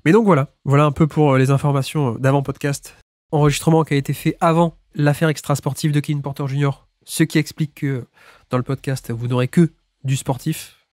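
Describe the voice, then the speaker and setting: A man's voice: on the high side, steady tone, brisk, a little mellow, dry recording, studio quality. A man with a cold hosting a basketball podcast, chatting through the introduction with restarts and small laughs. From France. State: has a cold. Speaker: podcast host.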